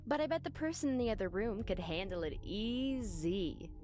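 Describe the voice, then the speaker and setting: A woman's voice: medium pitch, big pitch swings, moderate pace, close to an everyday voice. A woman playing a teasing, cheeky but caring teenage schoolgirl in a visual novel fandub. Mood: playful.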